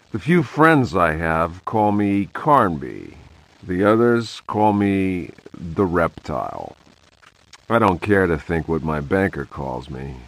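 An actor playing a jaded, brooding private detective in a video game monologue, with deliberate, dramatic pauses. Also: male voice, low voice, a bit bright, no echo, exaggerated voice. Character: jaded hard-boiled 1920s male private detective, brooding.

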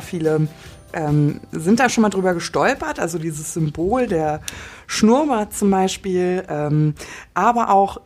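A woman's voice: mid-range voice, darkish tone, husky. A woman co-hosting a podcast, talking in a friendly, hesitant way with many fillers. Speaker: podcast co-host. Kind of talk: casual podcast interview.

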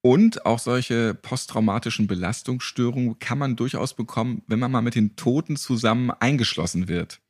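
A man's voice: medium pitch, normal speed, few pauses, a little mellow, slightly rough, dry recording, clean studio sound. A man moderating a conversational interview as its host.

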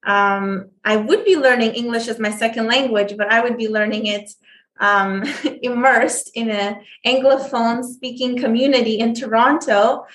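A woman guest telling her story in an interview, speaking haltingly with many fillers and restarts. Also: on the high side, fairly even intonation, a bit bright, roomy sound. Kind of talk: conversational interview.

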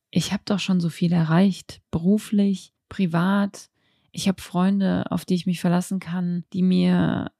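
A woman around thirty with a slightly low, noticeably creaky voice and a mellow tone. She talks as a podcast host thinking out loud, candid and reflective, in an unscripted personal style. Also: no room sound, clean studio sound. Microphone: handheld microphone, close.

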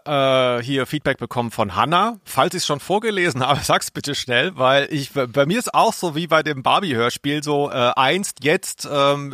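A man's voice: fairly high pitch, fairly bright tone, no room sound. Man, dry close podcast sound. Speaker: podcast co-host. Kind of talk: casual podcast banter, reading listener mail.

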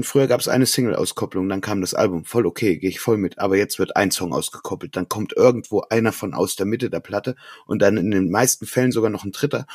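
A man's voice: lowish voice, slightly rough, dry recording, clean studio sound. A man co-hosting a podcast, giving opinionated, insistent views in casual music talk. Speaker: podcast co-host.

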